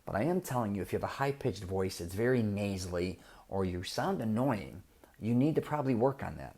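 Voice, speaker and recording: A middle-aged man with a somewhat low voice talks straight at the listener. He sounds blunt and direct, like a coach giving frank advice in a casual podcast monologue. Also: animated, fairly quick, mellow. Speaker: podcast host.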